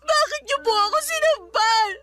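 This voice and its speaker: A meek young Filipina niece in a radio drama, very high and bright in voice. She speaks politely and hesitantly, like someone wronged who must plead, over soft music. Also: normal speed. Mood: tearful, protesting.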